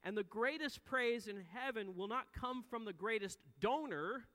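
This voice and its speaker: A man's voice: high voice, animated, measured pace, fairly bright tone. A man in his forties preaching a sermon, earnest, swelling and dropping his volume for emphasis. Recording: long hall reverb.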